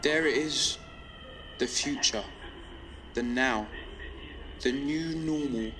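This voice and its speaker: A young male rebel speaks out over illicit radio in a high, forceful voice. His audio drama monologue is measured and impassioned, ringing with long reverb over faint noise. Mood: bleak, reflective. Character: defiant young rebel pirate radio broadcaster. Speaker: underground radio broadcaster.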